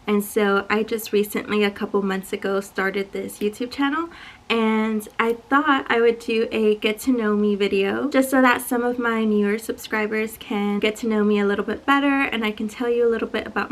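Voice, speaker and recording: A woman in her twenties from Dallas, Texas, chatting casually to camera in a get-to-know-me Q&A video, soft-spoken and a little shy. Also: medium pitch. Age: 20s. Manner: soft-spoken, self-described shy and quiet. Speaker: YouTuber.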